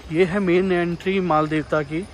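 A man's voice: high voice, fairly even intonation, normal speed, poor audio. A young man from India narrating a casual travel vlog to his viewers, chatty and enthusiastic, recorded on a phone in a car and outdoors. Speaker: travel vlogger. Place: in a car, then outdoors by a river. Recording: outdoor phone audio with loud background noise.

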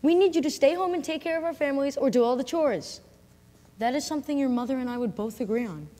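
A woman voices a weary young male soldier who is worn down and bitter. He talks in a high, earnest voice with heavy emphasis. The sound rings with the long echo of a hall. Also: lively intonation, fast, mellow. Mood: stern. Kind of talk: amateur drama acting. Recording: echoey hall.

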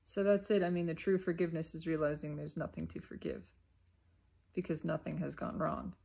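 A woman giving an unscripted, reflective spiritual monologue in short, halting, repeated phrases, with a husky, creaky voice. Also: low voice, fairly even intonation, mellow. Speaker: self-reflective spiritual vlogger.

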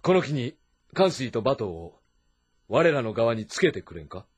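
An actor playing a shrewd middle-aged warlord of ancient China, husky and deliberate, in a historical video game. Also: male voice, mid-range voice, sing-song. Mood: calm, commanding. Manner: authoritative, deliberate, lordly. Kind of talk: dramatic Japanese historical game voice acting.

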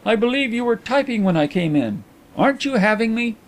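A man voicing a proud, excitable gentleman in an audiobook, speaking in stiff, emphatic exclamations. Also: very high voice, brisk, voice pushed up. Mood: sympathetic, amazed. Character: excitable, proud, touchy gentleman visitor, agitated and bitter. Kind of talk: audiobook character acting.

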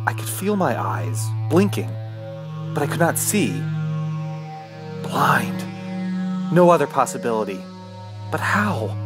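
An actor playing an injured, panicked man alone in the wilderness, narrating his ordeal in halting, theatrical radio horror style. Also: on the high side. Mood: dazed, confused. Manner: halting, anguished, theatrical delivery. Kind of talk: dramatic first-person radio horror narration. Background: music.

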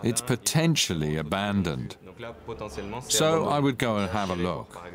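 A man's voice: on the low side, big pitch swings, measured pace, crowd noise. A man in his thirties, a photographer, explaining and pointing things out as he shows his work.

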